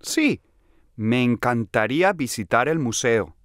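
A man playing a friendly young man in a scripted language-lesson dialogue, clearly enunciated. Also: medium pitch, lively intonation, unhurried, dry recording, clean studio sound, lightly acted. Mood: friendly, attentive. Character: friendly young man from Salamanca, aged twenty-three.

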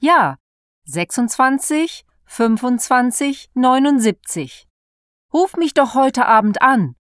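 Slow, clear woman exam reader. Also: high-pitched, expressive, no echo, clean studio sound. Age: 20s. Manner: slow, carefully articulated reading. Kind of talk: scripted language-exam dialogue.

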